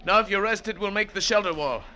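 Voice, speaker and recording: Solemn, high-pitched radio mountain guide. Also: male voice, brisk, bright, forceful tone. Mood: doubtful, practical. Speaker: radio drama actor. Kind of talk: 1940s-50s radio acting. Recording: vintage radio recording with music behind.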